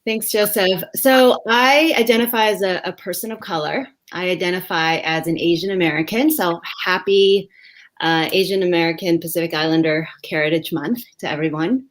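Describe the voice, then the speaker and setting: Reflective woman on a video call. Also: on the low side. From Vietnam. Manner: reflective, many pauses and "um" fillers. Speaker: education executive being interviewed. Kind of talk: live interview answers.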